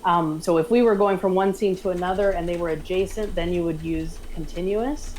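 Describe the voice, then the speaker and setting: Lower-voiced woman chatting in a panel. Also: steady tone, a little fast, darkish tone, slight background noise. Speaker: panel member reading chat questions. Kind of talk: casual group discussion, explanatory.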